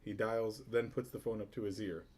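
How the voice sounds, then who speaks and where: A man's voice: lowish voice, little pitch movement, brisk, darkish tone. A man reading stage directions as narrator of a sitcom table read.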